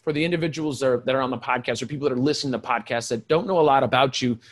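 A man hosting a marketing podcast, chatting loosely and enthusiastically with his guest. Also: medium pitch. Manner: fast, loose, enthusiastic with fillers. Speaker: podcast host. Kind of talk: casual interview chat.

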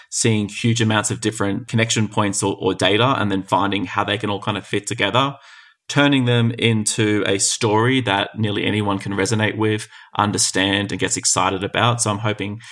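A man's voice: on the low side, fairly even intonation, a little fast, a bit bright. A man hosting a podcast, talking in a friendly, conversational way and thinking aloud as he asks questions. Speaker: podcast host. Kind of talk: podcast interview.

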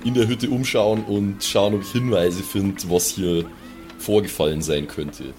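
A man's voice: deep voice, steady tone, measured pace, darkish tone, soft background music. A man, chatting casually as a player in an improvised tabletop game. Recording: clear background noise.

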